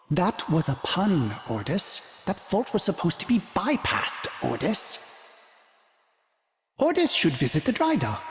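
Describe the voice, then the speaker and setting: A doting, courteous ship AI from a video game, his filtered synthetic voice swinging lively in pitch. He talks of himself in the third person, and now and then his words stutter and loop like a faulty machine. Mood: cheerful. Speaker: ship's onboard assistant.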